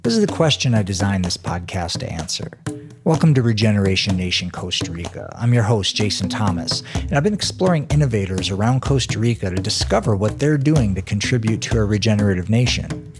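A male podcast host who reads his opening in a steady, even voice. A clear music bed plays underneath. Once the interview starts outdoors, he becomes upbeat and encouraging, asking short questions. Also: lowish voice, fairly quick. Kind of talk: scripted intro, then casual interview questions. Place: outdoors on a farm.

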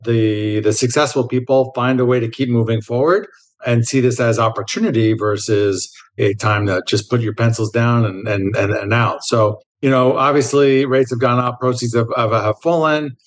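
A man's voice: medium pitch, moderate pace. A man hosting a podcast, introducing the show and interviewing a guest. He often stumbles over repeated words. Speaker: podcast host.

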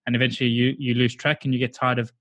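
A man hosting a podcast, asking questions in a relaxed way with some fillers. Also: medium pitch, fast talker, no echo, muffled recording. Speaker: podcast host. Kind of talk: casual interview chat.

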